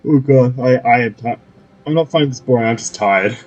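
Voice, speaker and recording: A man's voice: mid-range voice, brisk, a little mellow, muffled recording. A man giving casual commentary as he plays a game, talking to himself and to the game. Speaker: gamer playing through a quest. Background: faint game music.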